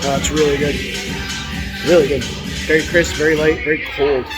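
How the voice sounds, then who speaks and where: A man gives an upbeat, quick walk-through of a brewery in a short phone video. Loud music fills the noisy bar behind him. His voice sits a little higher than average. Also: level tone. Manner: upbeat, quick touring commentary. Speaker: podcast co-host. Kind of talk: vacation video clip. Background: loud music and bar crowd.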